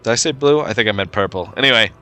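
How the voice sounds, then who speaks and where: Bright-voiced man with a stuffy cold. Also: fairly low pitch, quick pace, faint music behind. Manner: relaxed play-by-play with frequent fillers. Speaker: game commentator. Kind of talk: casual gameplay commentary.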